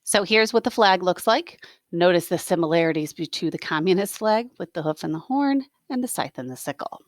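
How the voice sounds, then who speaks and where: A woman reading a novel aloud as a teacher, expressive, with plain explanatory asides for students. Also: lowish voice, fairly quick, no echo, clean studio sound.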